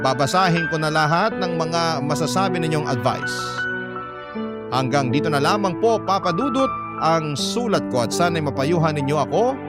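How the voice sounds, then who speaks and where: A man reading a letter as a drama narrator, in a measured, sentimental, scripted manner. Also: on the high side.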